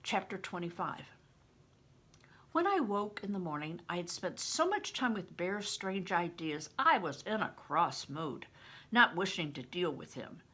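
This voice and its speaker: Older woman reading aloud with bright, animated voice. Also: lowish voice, measured pace, breathy edge. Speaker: audiobook reader, retired teacher. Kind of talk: expressive read-aloud of a children's novel.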